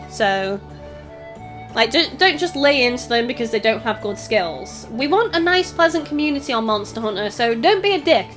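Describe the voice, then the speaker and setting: A high-voiced woman hosts a casual game livestream in a Scouse accent with a little Welsh in it. She projects her voice as she reads out chat and comments, with music playing underneath. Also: a bit bright. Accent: Northwest English Scouse with a touch of Welsh. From Northwest England. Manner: raised, projecting streamer voice. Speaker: livestream host, gamer. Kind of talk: casual live gaming commentary, reading out chat. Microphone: desktop USB microphone.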